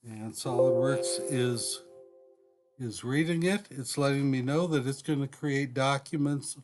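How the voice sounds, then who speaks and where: A man presenting a step-by-step software demonstration in an unscripted, deliberate way, often pausing mid-sentence. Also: mid-range voice, faint music behind.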